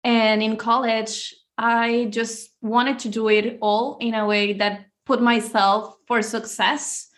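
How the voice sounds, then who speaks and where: A woman's voice: a little high, level tone, slow pace, few pauses. A woman from Venezuela, answering interview questions as a guest author in an earnest, upbeat way, often with a short laugh.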